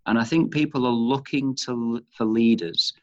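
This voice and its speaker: A man from Manchester with a Northern English accent, answering interview questions in a thoughtful, halting way, restarting phrases as he goes. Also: medium pitch. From Manchester, England. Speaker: interview guest, chief executive of leadership institute. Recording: dull, muffled lo-fi audio.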